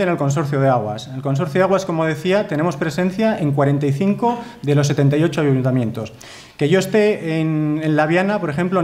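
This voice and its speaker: A Spanish-accented man in his thirties lecturing at a conference, speaking into a desk microphone in a wood-panelled hall. His voice sits a little high for a man, and his delivery is earnest and emphatic, with frequent tag questions. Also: darkish tone. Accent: Spanish from Spain. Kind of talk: formal conference talk with slides.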